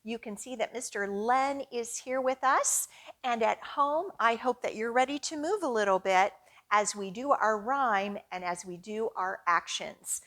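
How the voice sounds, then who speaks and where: A woman's voice: a little high, a little slow, a bit bright. A woman hosting a children's storytime, reading aloud and leading rhymes expressively as she addresses young children. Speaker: children's librarian storytime host.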